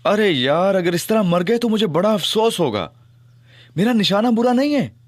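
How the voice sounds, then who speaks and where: A man's voice: sits high, very animated, normal speed, no echo, clean studio sound. An actor playing a cocky young male detective in an audio drama, teasing and theatrical, also narrating in first person. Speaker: Ali Imran.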